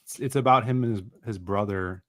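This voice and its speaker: A man's voice: on the low side, brisk. Laid-back man telling a story. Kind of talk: casual chat.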